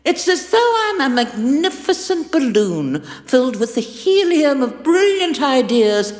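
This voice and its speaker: An actress playing a haughty, condescending chancellor with a pompous, theatrical delivery. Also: female voice, high voice, sing-song, unhurried. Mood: exasperated, grandiose. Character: haughty, imperious female chancellor, fussy and condescending. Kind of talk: audio drama acting. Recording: echoey hall with long reverb.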